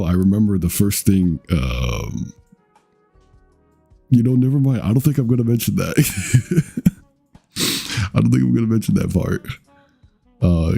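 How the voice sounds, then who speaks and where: A young man with an extremely low, deep voice that sounds dark and mellow, with a husky edge. He chats casually with his viewers, rambling and restarting his sentences, dropping fillers and swear words. Faint music plays softly behind him. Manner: rambling, halting, with many fillers and self-corrections; swears casually and laughs often. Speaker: VTuber streamer. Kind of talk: casual chat with stream viewers.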